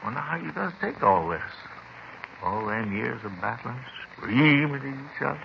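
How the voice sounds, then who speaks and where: Boozy, evasive radio-drama neighbor: folksy, swooping, gravelly rambler on a dulled old recording. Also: male voice, medium pitch, expressive. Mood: tipsy, self-pitying. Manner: folksy, repetitive, halting, ungrammatical. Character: boozy, rambling, evasive male neighbor who likes a drink. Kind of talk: 1950s radio drama acting. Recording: muffled, noisy old 1950s radio recording.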